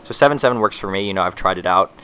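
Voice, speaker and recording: A man's voice: low-pitched, racing, pushed and bright, slight background noise. A young man with a stuffy nose from a cold, talking loosely through a gaming tutorial voice-over with many fillers and restarts. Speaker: gaming YouTuber.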